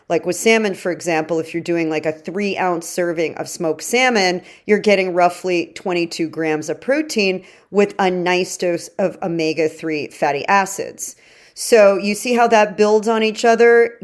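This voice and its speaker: Low-pitched woman, conversational podcast host. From Egypt. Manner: emphatic, informal, direct address to listeners. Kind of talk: conversational explainer on nutrition.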